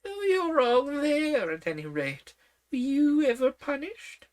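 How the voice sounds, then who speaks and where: Scatterbrained old storybook queen, high and fluttery, a woman reading it. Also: very animated, slow pace, full character voice.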